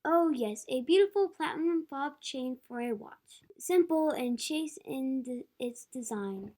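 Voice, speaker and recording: Courteous shopkeeper, acted by a schoolgirl, high but soft-toned, formal sales delivery, radio play. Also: animated, unhurried. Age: child of about ten.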